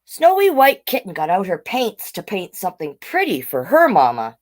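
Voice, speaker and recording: Female, children's storytime host. Very lively pitch, medium register, animated read-aloud style with chatty asides. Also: normal speed.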